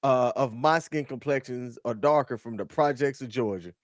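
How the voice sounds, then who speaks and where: A man co-hosting a casual reaction chat, animated and prone to loud excited bursts. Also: mid-range voice, normal speed, no room sound, clean studio sound. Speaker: co-host reacting to clips.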